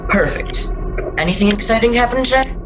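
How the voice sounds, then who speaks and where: A woman's voice: fairly high pitch, brisk, music in the background, close to an everyday voice. A woman playing an easygoing, teasing suburban husband and father, with a casual, folksy manner, in an amateur radio drama. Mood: affectionate.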